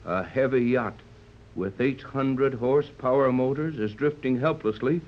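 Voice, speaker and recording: An actor playing a steady, fatherly older yacht captain in a 1930s radio serial, measured and authoritative as he gives orders. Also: mid-range voice, natural voice. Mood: grave, watchful. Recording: muffled, noisy 1930s radio recording.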